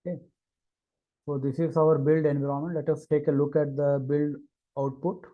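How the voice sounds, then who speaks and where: A man walking through a technical product demo, talking in a halting way with many fillers and restarts. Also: a little high, flat delivery, phone-quality sound. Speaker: engineer giving a product demo. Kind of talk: screen-recorded technical walkthrough.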